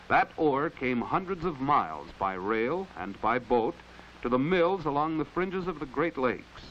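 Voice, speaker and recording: A man's voice: mid-range voice, a little hiss or hum, rough recording. An American man delivering earnest, rhetorical narration in the proclaiming style of a 1950s educational film. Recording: vintage 1950s film soundtrack with loud music. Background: background music.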